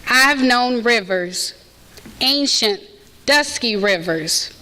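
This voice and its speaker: A woman reciting a poem aloud at a university read-in, slow and measured. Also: fairly high pitch, lively intonation, pushed and bright. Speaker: student reader at a read-in. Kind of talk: poetry reading. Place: university center event room. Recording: long hall reverb, faint ambience.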